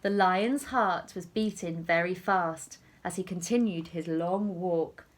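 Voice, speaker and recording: A woman teacher reading a picture story aloud to young children in an expressive, deliberate storytelling manner. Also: on the low side.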